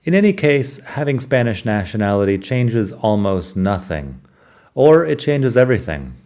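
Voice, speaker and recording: Deep-voiced wry American man. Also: big pitch swings, moderate pace, a little mellow. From United States. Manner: wry, self-deprecating, reflective. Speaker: podcast host. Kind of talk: scripted personal podcast narration.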